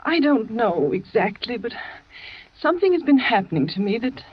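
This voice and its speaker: An actress playing a frightened, suspicious young wife in a 1940s radio drama, talking in a tense, wary, questioning way. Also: female voice, a little high, sing-song, normal speed, husky. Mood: uneasy. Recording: dull lo-fi old radio recording.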